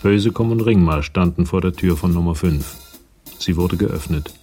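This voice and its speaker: Male crime-story narrator, husky and deep, reading scripted prose, faint music behind. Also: moderate pace, dark tone. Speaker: narrator of a radio play.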